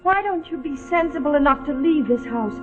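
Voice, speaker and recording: Loud music runs behind a tinny old film track with a guarded daughter. Her high, expressive voice placates and reasons carefully. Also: brisk. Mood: wary, placating. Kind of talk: vintage screen melodrama acting.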